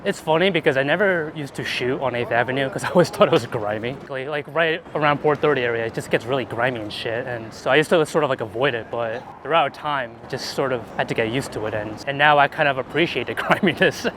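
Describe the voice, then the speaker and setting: A man chatting casually and informally as he is interviewed about street photography outdoors, animated and quick to laugh, swearing now and then. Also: mid-range voice. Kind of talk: casual chat while walking outdoors. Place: outdoors on busy city streets. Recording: clear city street traffic noise.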